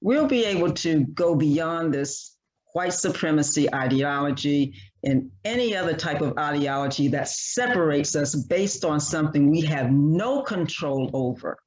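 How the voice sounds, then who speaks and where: A man speaking as a panelist in an online discussion, earnest and repetitive, halting with many restarts. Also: on the high side, expressive, poor audio.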